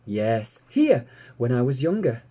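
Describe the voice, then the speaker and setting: A patient, motherly female sheepdog from a children's storybook, played by a man. The voice is kindly and knowing, a little raised and lively, explaining things gently. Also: mid-range voice, unhurried.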